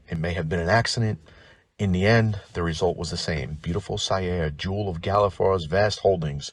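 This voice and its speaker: A man's voice: deep voice, slightly rough, no room sound. A man hosting a tabletop gaming lore show, reading scripted narration like a dramatic storyteller and slipping into wry, informal asides.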